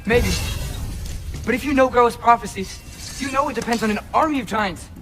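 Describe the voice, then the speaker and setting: A teenage boy with a very high, youthful voice. He is earnest and defiant, arguing urgently as in a dramatic game cutscene, with music clearly behind him. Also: normal speed, fairly bright tone. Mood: earnest, insistent. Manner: urgent, persuasive. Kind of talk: video game cutscene acting.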